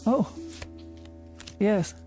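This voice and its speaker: An actress playing an anxious, insistent elderly woman in a radio drama, speaking in a fretful, halting way. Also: mellow, faint music behind. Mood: fretful, distracted. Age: older.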